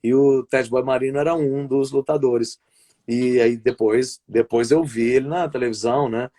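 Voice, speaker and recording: A man's voice: mid-range voice, steady tone, moderate pace, warm tone. A Brazilian man, a wrestling commentator, chatting casually over a video call, rambling and often restarting his sentences. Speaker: wrestling commentator and play-by-play announcer. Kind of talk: casual interview chat over a live stream.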